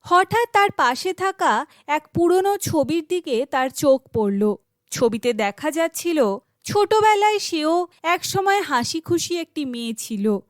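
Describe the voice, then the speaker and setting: A woman narrates a children's fairy tale from a script. Her voice is high, and the recording is dry, with no room sound. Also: no echo.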